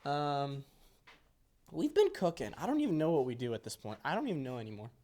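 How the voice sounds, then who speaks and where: A man's voice: on the high side, big pitch swings, fairly quick, mellow. A man in his early twenties giving a casual, off-the-cuff tour of a workshop, rambling in a jokey, self-deprecating way with playful exaggeration. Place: indoor workshop.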